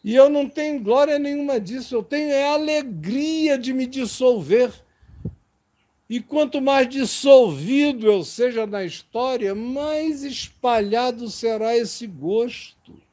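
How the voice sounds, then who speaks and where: A man's voice: extremely high pitch, takes it slowly, dark tone. A man preaching a sermon in an impassioned, emphatic way.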